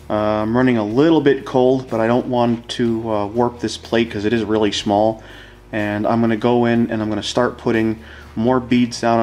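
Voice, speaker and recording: A man's voice: medium pitch, a little mellow. A man explaining a hands-on demonstration in a casual, informal way, as an instructor talking to viewers.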